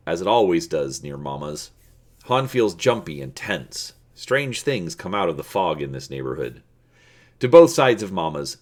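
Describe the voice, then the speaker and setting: A man hosting and dramatically reading an adventure story, deliberate and stretching words for emphasis. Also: low voice. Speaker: video host and storybook narrator.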